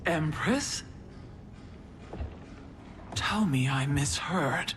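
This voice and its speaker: A proud, honourable warrior queen in a cinematic game. She is very low for a woman and speaks slowly and sternly. Music runs clearly underneath. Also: lively intonation, full character voice. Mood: stern disbelief. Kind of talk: cinematic video game voice acting.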